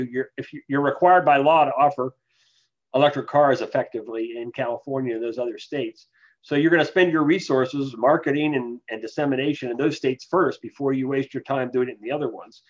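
A man with a mid-range voice speaks as an expert interview guest. He explains things in a measured, analytical manner, and the recording is somewhat dull and lo-fi. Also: medium pitch. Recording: dull, lo-fi voice capture.

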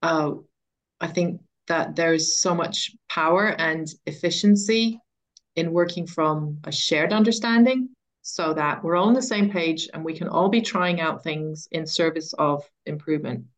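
Woman with a slightly low, measured voice. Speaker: interview guest, education author and coach. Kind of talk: conversational expert interview.

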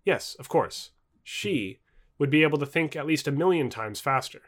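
A man's voice: a little high, lively intonation, moderate pace, natural voice. A man voicing a confident male futurist who patiently explains and corrects in an audio book dialogue.

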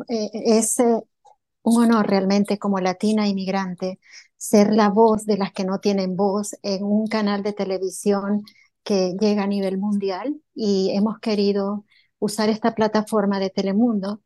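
A woman's voice: mid-range voice, little pitch movement, unhurried, warm tone. A woman from Bolivia answering interview questions in an earnest way, with many filler sounds. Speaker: entrepreneur guest.

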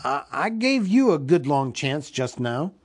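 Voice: mid-range voice, very animated, unhurried, husky. A reader voicing a flustered but plucky, polite hobbit in a storybook, with an emphatic way of speaking. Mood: nervous, stalling.

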